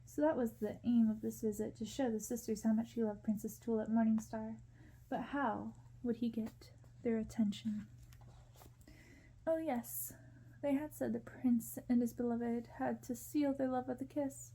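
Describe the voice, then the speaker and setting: A woman reading a story aloud as ASMR narration, in a soft, hushed storytelling manner. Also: a little high, fairly even intonation, normal speed, low-quality recording. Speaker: ASMR reader.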